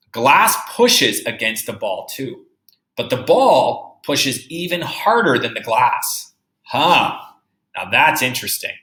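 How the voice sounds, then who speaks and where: A man teaching young children through a read-aloud, reading deliberately and musing aloud with questions for his pupils. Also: mid-range voice, a bit bright. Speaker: third-grade teacher. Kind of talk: read-aloud lesson with think-aloud commentary. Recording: roomy, echoey indoor sound.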